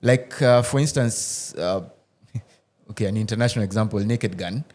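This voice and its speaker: A man, a podcast guest who tells animated stories in casual roundtable chat, often restarting and laughing. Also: lowish voice, normal speed. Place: podcast studio.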